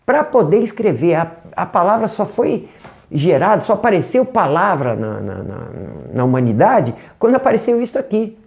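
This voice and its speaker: An English teacher with a Brazilian Portuguese accent gives an online lesson, animated, asking rhetorical questions and demonstrating sounds. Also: medium pitch, normal speed, vocal fry, lo-fi sound.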